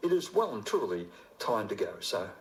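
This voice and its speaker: A man's voice: fairly low pitch, very animated, normal speed. An older man, talking informally and unhurriedly as a radio presenter signing off live, rambling a little. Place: radio studio.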